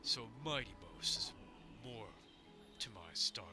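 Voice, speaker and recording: A man streaming a game with a gravelly voice, rambling and swearing as he talks in and out of character. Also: lowish voice, animated, over music. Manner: rambling, halting, swears a lot. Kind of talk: casual in-character game commentary.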